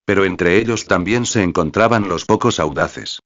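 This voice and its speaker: A man narrating a fan novel in a steady, even audiobook style. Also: low voice, moderate pace, dry recording, lo-fi sound.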